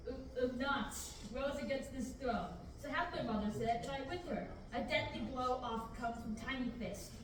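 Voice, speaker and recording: A woman's voice: on the high side, close to an everyday voice. A woman reading a worried imperial commander in Shakespearean verse at a table reading. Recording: echoey room from far off, background noise.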